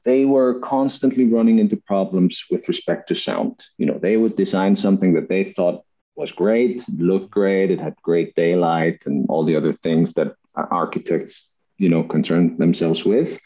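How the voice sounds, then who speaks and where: A man from Iceland telling his story on a podcast in a chatty, relaxed way, with frequent "uh" fillers and restarts. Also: low voice, normal speed, highs cut off. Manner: chatty, laughs often, many "uh" fillers and restarts. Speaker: guest, acoustics company CEO. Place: Reykjavik, home or office.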